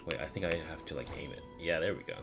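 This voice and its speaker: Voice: very deep voice, very fast, creaky. A game streamer giving laid-back, playful playthrough commentary and reading on-screen text aloud in a casual way. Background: game music.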